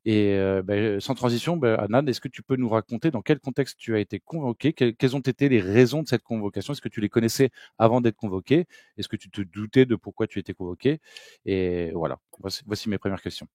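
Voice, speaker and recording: A man's voice: fairly low pitch, fast talker, dark tone, no room sound, studio quality. A man interviewing a guest over a video call, asking several follow-up questions in a row. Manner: asks questions in a string of follow-ups.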